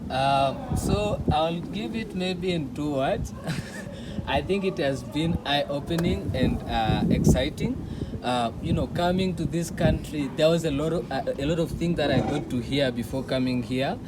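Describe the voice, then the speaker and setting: A man from Tanzania, a guest answering interview questions hesitantly, with many pauses. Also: fairly high pitch, heavy background noise. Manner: hesitant, pausing often.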